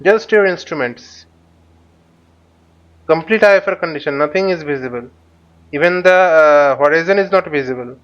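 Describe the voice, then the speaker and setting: A man with a fairly high, very clear voice talks through a flight simulator tutorial as he goes. His delivery is halting, with phrases repeated and reworded. The audio is slightly dull and lo-fi. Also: fairly bright tone. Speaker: flight simulator instructor and channel host. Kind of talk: live tutorial commentary while flying a simulator.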